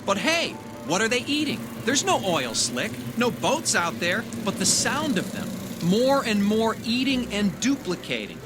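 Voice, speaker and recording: A man's voice: sits high, huge pitch swings, measured pace, few pauses, noisy background, full character voice. An actor in an audio drama playing a wry, cynical man who scolds and needles. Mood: grim, accusing. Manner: sardonic, needling. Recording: music under the voice.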